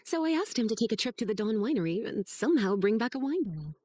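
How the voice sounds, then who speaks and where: A woman playing a sly, coaxing, motherly teacher in a video game, with a breathy voice and teasing manner. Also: fairly high pitch, sing-song, a little mellow, no room sound. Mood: reassuring. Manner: coaxing, teasing, unhurried persuasion. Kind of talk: video game character acting.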